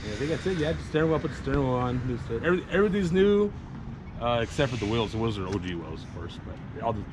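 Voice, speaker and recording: A fast-talking man in his forties, relaxed and prone to restarting his sentences. He is recorded outdoors in a city alley on a camera mic, with steady street noise behind him. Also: medium pitch. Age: 40s. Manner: laid-back, trailing restarts. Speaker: car owner. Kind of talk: casual chat.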